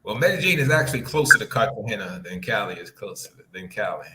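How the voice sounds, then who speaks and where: A man chatting casually as a guest, matter-of-fact and a little halting. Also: on the low side, big pitch swings. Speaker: podcast guest. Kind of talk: casual conversation. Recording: clicky, noisy remote connection.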